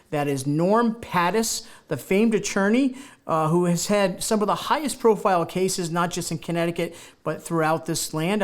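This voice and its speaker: A man hosting a local television talk show in a studio, enthusiastic and rambling, with many fillers and restarts. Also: sits high.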